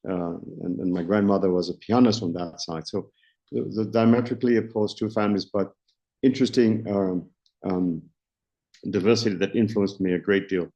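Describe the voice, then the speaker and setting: A South African man around sixty, a company founder answering interview questions in a measured way, often restarting as he searches for words. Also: sits low, fairly even intonation, dark tone. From Johannesburg, South Africa. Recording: dull lo-fi recording.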